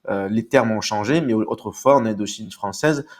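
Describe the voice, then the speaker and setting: A man's voice: fairly low pitch, moderate pace. A man from Marseille, answering as an interview guest in a hesitant, polite, explanatory way. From Marseille, France. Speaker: podcast guest. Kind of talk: casual autobiographical interview answers.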